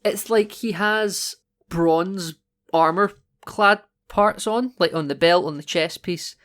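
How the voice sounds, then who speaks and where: A man's voice: high-pitched, takes it slowly, fairly bright tone. A man with a Scottish accent, co-hosting a casual film podcast in a playful, chatty way with frequent restarts. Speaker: podcast co-host. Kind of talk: casual film-review chat.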